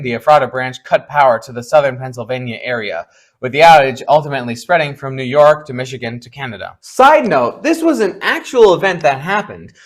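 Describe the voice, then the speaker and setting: A man narrating a scripted explainer, with informal, playful asides to the viewers. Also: fairly high pitch. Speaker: video essay narrator.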